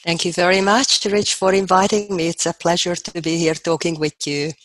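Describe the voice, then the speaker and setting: A woman's voice: fairly low pitch, level tone, normal speed, no echo, poor audio. Older Hungarian-accented woman, earnest. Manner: earnest, reflective storytelling with fillers. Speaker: guest dentist. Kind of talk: podcast interview.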